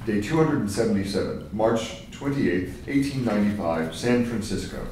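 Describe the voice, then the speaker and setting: A man's voice: on the low side, animated, normal speed, a little mellow. A man reading scene headings and stage directions as narrator at a screenplay table reading. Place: large echoey room, live table reading. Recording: very echoey, distant mic, faint noise.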